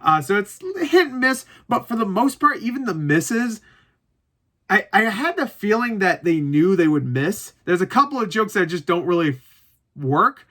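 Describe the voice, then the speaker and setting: A man's voice: high-pitched, big pitch swings, moderate pace, pushed and bright. A man giving a casual, rambling, opinionated movie review, informal and joking, swearing freely and repeating himself for emphasis.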